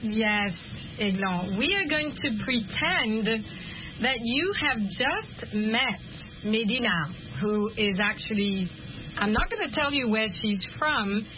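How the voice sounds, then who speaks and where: Lady radio host, high voice, patient and guiding, kinda muffled sound. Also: animated, slight background noise. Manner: encouraging, guiding the callers slowly. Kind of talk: hosting a radio language chat.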